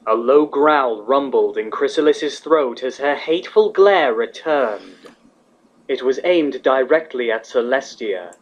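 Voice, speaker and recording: A man's voice: mid-range voice, lively intonation, a little slow. A man reading scripted fantasy fiction as the narrator. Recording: slightly dull, lo-fi recording.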